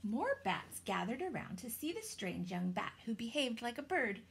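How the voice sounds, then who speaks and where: A woman, reading a children's story aloud in a dramatic, deliberate way. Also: fairly high pitch, very animated, creaky, slight background noise. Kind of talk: expressive children's storybook narration. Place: small indoor room with shelves.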